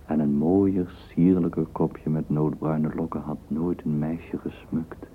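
A man's voice: rumbling low, flat delivery, unhurried, breathy edge, exaggerated voice. A man narrating a radio drama as a storyteller, reading flowery literary prose. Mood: florid, admiring. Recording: tinny, hissy old radio recording.

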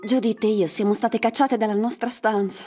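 Chatty, wry young woman, acted, highish voice. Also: fairly even intonation, normal speed, dark tone, soft background music, close to an everyday voice. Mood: wry, aggrieved. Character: Ellen, chatty young woman, evicted library clerk. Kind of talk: Italian radio drama acting.

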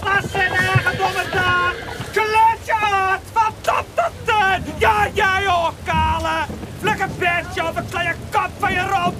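An actor playing a violent highway robber in a radio drama, barking threats and orders. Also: male voice, level tone, takes it slowly. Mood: menacing. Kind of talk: shouted radio drama acting. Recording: very noisy outdoor scene ambience.

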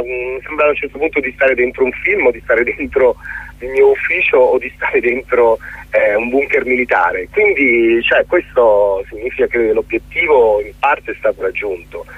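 A middle-aged man giving a reflective radio interview as a theatre guest, articulate but often pausing to search for words. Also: mid-range voice, breathy edge, dry recording. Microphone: telephone. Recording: muffled, thin telephone line on radio.

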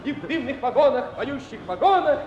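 A dreamy young soldier who loves verse in a radio play. His voice is high and animated, with a lyrical manner. The sound is slightly dull and reverberant. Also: brisk, slight background noise. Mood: rapt, reciting. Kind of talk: Russian radio play acting. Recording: muffled, echoey hall sound.

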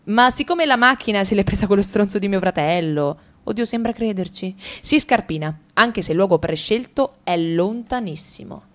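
A woman reading a comic monologue for radio theatre, ticking off lists briskly with ironic, playful emphasis. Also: medium pitch, expressive. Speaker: radio drama reader.

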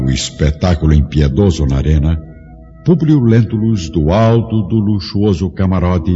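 A man narrating a radio play in a solemn, dramatic way. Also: sits low. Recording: dull audio with long reverb and music.

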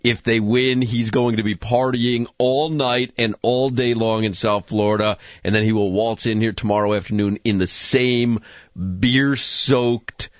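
A man's voice: medium pitch, fairly even intonation, unhurried, dry recording. Male co-host, casual banter, dry studio, dull audio. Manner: storytelling, joking. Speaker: radio co-host. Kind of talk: casual sports talk radio banter.

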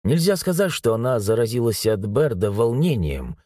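A man narrating a novel as an audiobook, with expressive storytelling and ironic asides. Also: mid-range voice, normal speed, dry recording, studio quality. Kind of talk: scripted narration.

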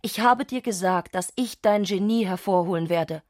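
Actress as a scornful wife, agitated, reproachful, insistent, mid-pitched, radio drama, close dry studio sound. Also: female voice, moderate pace, no echo, close to an everyday voice. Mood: scornful, challenging. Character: agitated, scornful wife goading her painter husband.

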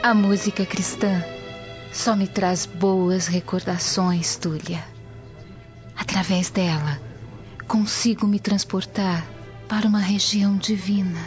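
Slow, serene, devout woman, an actress's role. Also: medium pitch, fairly even intonation, dull audio, faint music behind, lightly acted. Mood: reverent. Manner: dreamy, gentle, reverent.

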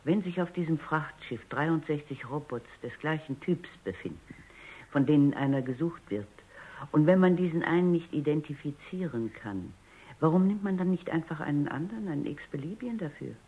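A woman playing a stern, sharp-minded robot psychologist with a blunt, probing manner in a German radio drama, on a thin radio recording. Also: sits low, expressive, normal speed, breathy edge, phone-quality sound. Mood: insistent questioning.

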